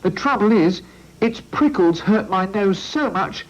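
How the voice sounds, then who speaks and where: A man voicing a young male character who is sorry for himself, in a very high, plaintive voice, on a lo-fi storybook recording. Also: expressive, measured pace. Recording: lo-fi old recording.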